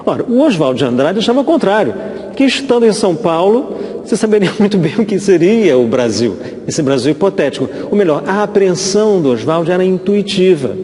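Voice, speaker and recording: A Brazilian man speaks as an informal expert commentator in a reverberant hall. His voice sits a little high with a mellow, relaxed tone. He often hesitates and repeats words while explaining. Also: a little fast.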